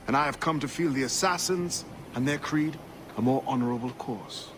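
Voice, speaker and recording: An actor playing a principled quartermaster, formal and measured, in a video game cutscene. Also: male voice, medium pitch, a little hiss or hum, close to an everyday voice. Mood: stern, sincere. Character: dignified, principled quartermaster, adult man.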